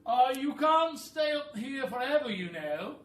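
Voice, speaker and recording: A man's voice: very high voice, big pitch swings, fairly bright tone, voice pushed up, exaggerated voice. Man voicing a big, emphatic roly-poly bird. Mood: warning. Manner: emphatic, drawn-out delivery. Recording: roomy, noisy, distant microphone.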